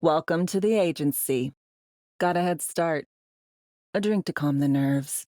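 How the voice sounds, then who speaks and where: A low female voice, recorded close and dry, plays a worldly spy for a video game. She talks in a clipped, slangy way, with a sardonic edge and the weariness of someone long in the field. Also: measured pace, warm tone, no room sound. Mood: casual, candid. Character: world-weary, wry female undercover spy in a dystopian city. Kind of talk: video game voice acting, conversational dialogue.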